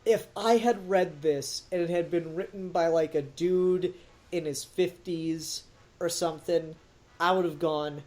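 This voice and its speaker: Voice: medium pitch, a little slow, darkish tone. A podcast co-host giving a casual, blunt and emphatic critique in conversation. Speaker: podcast co-host reviewing an audio drama.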